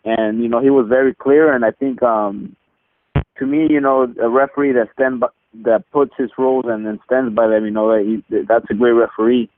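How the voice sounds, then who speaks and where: A man speaking as a boxer on a press call, answering casually and haltingly with repeated words. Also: mid-range voice, fairly even intonation. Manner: halting, repeats words, peppers talk with "you know" and "man". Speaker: boxer being interviewed. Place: phone line on a teleconference. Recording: narrow muffled telephone conference line.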